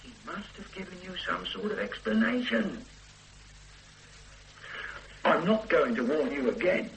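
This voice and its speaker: Pushy cop inspector in an old radio play, sardonic, voice swings all over, pretty high. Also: male voice, expressive, unhurried, gravelly, fairly bright tone, a little hiss or hum, exaggerated voice, rough recording. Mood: pressing. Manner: pressing, sardonic, threatening when stonewalled. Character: probing, blunt male police inspector. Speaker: police detective questioning a witness. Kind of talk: 1970s-80s radio drama acting.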